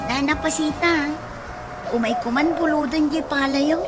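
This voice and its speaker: Woman playing chatty high-voiced village wife. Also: normal speed, loud music behind the voice, close to an everyday voice. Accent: Ilocano. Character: neighborly, chatty village wife named Gemma. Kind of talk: Ilocano radio comedy drama acting. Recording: noisy track with outdoor ambience.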